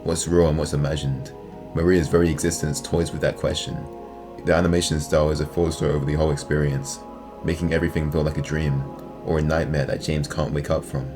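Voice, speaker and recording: A man's voice: rumbling low, level tone, fairly quick. A man reading scripted, analytical narration as the host of a video essay. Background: music.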